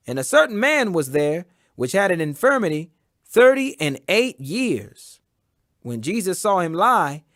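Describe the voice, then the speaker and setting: A man giving a solemn, emphatic and measured dramatic reading of scripture as an audiobook. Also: high-pitched, fairly bright tone, dry recording, clean studio sound. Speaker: Bible reader.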